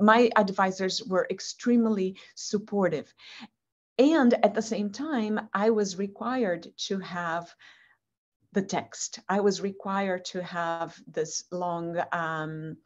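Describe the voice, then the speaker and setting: A woman whose first language is Portuguese, earnestly answering interview questions over a video call and often repeating words as she finds her phrasing. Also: fairly low pitch. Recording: muffled video-call audio.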